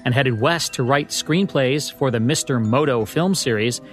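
A man's voice: medium pitch, moderate pace, soft background music. A man hosting a radio history podcast with polished, scripted, presentational narration. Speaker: podcast host.

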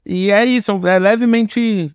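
A man's voice: high voice, steady tone, unhurried, clean tone, no echo, studio quality. A Brazilian man chatting casually as a podcast guest, informal and slangy, thinking aloud as he goes. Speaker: podcast guest, filmmaker and screenwriter. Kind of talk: casual chat.

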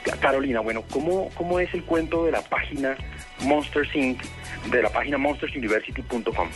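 A man's voice: mid-range voice, a little fast, fairly bright tone, faint music behind. A man hosting a radio show, chatting casually and interviewing a guest in a rambling way.

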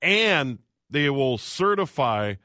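A man hosting a radio call-in show from a studio, reading out phone numbers slowly and making brisk station announcements. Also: fairly high pitch, sing-song, bright, forceful tone, no room sound. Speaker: radio host.

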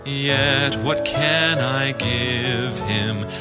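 A female singer delivers a Christmas carol in a very low register, drawn out extremely slowly. Loud instrumental music accompanies her. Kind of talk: slow sung Christmas carol.

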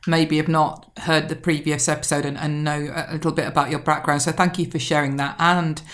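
A woman from the United Kingdom with a British accent, hosting an interview in a measured, polite way as she guides her guest with questions. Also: low-pitched, level tone, fairly bright tone. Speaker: podcast host.

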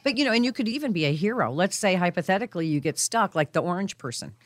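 A woman with a low, deep-set voice chats in a dry, close studio sound. She is animated and jokey as she co-hosts, tossing in quick asides and tips. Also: dry recording. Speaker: radio show co-host. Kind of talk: casual chat.

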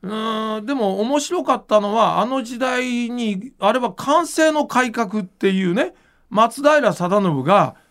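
A man's voice: high-pitched, lively intonation, slow, fairly bright tone. A man hosting a podcast, chatting casually and explaining his thoughts in an informal, musing way. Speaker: podcast host and manga creator.

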